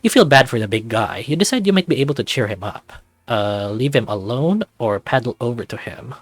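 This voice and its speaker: A man narrates a visual novel in an expressive read-through. His voice sits at a medium pitch. The recording is close and dry, with music underneath. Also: a little fast, no echo.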